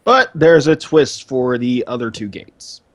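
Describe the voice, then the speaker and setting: A man's voice: mid-range voice, sing-song, unhurried, no room sound. A man chatting casually as the co-host of a gaming podcast.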